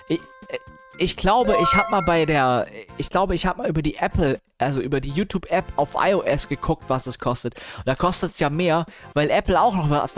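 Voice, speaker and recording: A man with a fairly high voice talks casually as a live streamer. He drops English gamer slang into his speech and adds grumbling asides. Music plays behind him. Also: a bit bright. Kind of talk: casual livestream chat, reacting to news and viewers.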